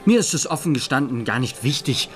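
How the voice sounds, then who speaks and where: An actor playing a moody, defensive young student in a radio play. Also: male voice, mid-range voice, lively intonation, brisk, faint music behind, lightly acted. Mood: defensive, blasé. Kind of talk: German literary radio play dialogue.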